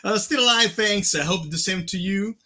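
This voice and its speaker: A man's voice: sits high, normal speed. A man from Italy with an Italian accent, hosting a casual, effusive chat from his private home studio. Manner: excitable, effusive, laughs easily. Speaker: host.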